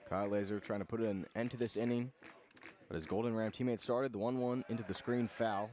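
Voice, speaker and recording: A man calling live baseball play-by-play for radio, in short measured phrases with pauses between them. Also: on the low side, fairly even intonation. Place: press box at an outdoor ballpark.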